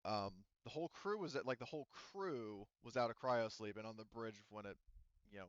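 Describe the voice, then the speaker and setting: A man narrates a tabletop game casually as game master. His voice is smooth and clear at a middling pitch. The sound is dry, with a slightly muffled, lo-fi edge. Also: fairly quick, fairly bright tone, dry recording.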